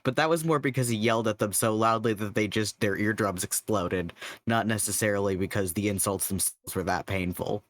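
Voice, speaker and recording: A woman's voice: rumbling low, fairly quick, dry recording, studio quality. A woman playing a tabletop role-playing game and chatting casually.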